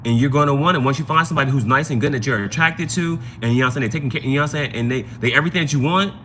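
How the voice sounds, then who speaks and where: Man reading tarot over clear background noise. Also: mid-range voice, very fast, fairly bright tone. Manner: conversational, repeats phrases and adds "you know what I'm saying". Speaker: tarot reader hosting a live stream. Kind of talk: casual live tarot reading with advice.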